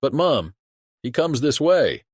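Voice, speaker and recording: A man's voice: medium pitch, big pitch swings, takes it slowly, husky, no echo, studio quality, full character voice. A man playing a chatty sailor who tells a yarn to his shipmate in colloquial, exclamatory audiobook acting. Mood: confiding wonder.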